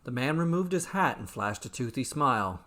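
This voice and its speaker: A man reading a scripted fantasy story as its storyteller, in measured, dramatic, deliberately broken phrases. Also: medium pitch, animated, pushed and bright. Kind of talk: scripted fantasy bedtime narration.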